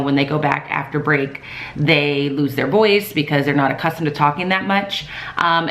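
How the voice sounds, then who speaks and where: A woman in her thirties, a teacher, chatting casually in a calm, soft-spoken way with many fillers. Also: low-pitched. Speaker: teacher vlogger. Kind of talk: casual vlog talk. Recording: echoey room.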